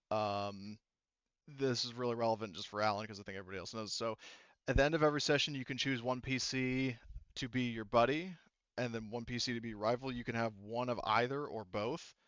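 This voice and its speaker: A man running a tabletop game, explaining its rules in an informal, halting, instructional way. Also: mid-range voice, a bit bright, smooth, no echo, lo-fi sound. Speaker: game master.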